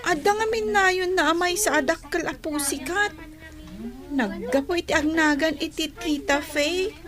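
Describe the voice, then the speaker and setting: A woman's voice: extremely high pitch, animated, measured pace, crowd noise. A woman voicing an innocent, sweet little girl in a radio drama. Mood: innocent, excited. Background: music.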